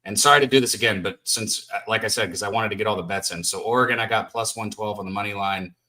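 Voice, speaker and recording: A man with a low but bright voice co-hosts a betting show. He runs quickly through his bets and the odds. He keeps apologizing for cutting in. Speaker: co-host. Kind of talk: casual betting talk.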